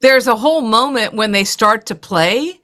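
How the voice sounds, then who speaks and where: A woman speaking as a composer in a conversational panel interview, halting and thinking aloud in loose phrases. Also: fairly high pitch, expressive, pushed and bright.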